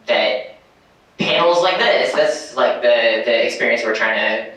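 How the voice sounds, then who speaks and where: A man's voice: mid-range voice, expressive, unhurried, fairly bright tone. A man speaking on a convention panel in a casual, rambling way, with many fillers and restarts. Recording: echoey hall from far off.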